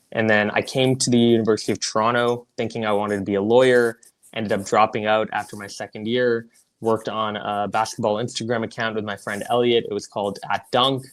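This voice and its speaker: A man in his twenties from Singapore, a guest on a podcast, talking in an easygoing, conversational way. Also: fairly low pitch. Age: 20s. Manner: easygoing, conversational, uses "like" and "you know". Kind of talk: casual interview.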